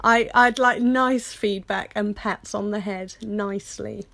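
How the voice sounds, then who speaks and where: A woman's voice: mid-range voice, fairly even intonation, measured pace, gravelly, fairly bright tone. A British woman co-hosting a podcast in a casual, chatty style, full of playful, jokey banter. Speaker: podcast co-host.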